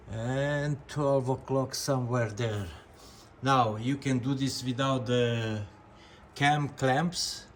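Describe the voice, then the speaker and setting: A man hosting a casual hands-on vlog, explaining things step by step and talking directly to his viewers. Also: medium pitch. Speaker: vlog host. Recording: clear background noise, vehicle and ambience.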